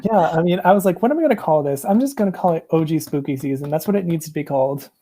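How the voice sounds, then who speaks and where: Young american guy on a video call w earbuds, mellow warm voice, lots of ums and restarts. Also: on the high side, expressive, fast. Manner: rambling, many ums and restarts. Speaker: presenter. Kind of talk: casual video call chat. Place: small room at home.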